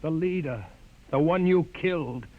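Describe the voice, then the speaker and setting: A man's voice: fairly high pitch, measured pace, close to an everyday voice. A man in a 1950s radio drama playing a defiant, impassioned idealist who pleads and preaches like an orator. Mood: bitter. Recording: muffled, noisy 1950 radio recording.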